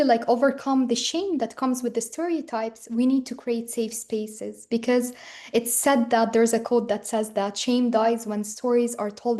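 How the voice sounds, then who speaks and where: Young woman guest on a webinar call, mellow kinda high voice, earnest, says like a lot. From Palestinian and Ukrainian background. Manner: earnest, reflective, fillers with "like". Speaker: guest speaker. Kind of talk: webinar interview answers.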